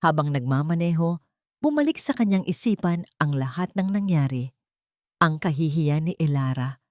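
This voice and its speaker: Low-voiced woman narrating a drama. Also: dry recording, clean studio sound. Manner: steady, dramatic storytelling. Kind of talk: scripted story narration.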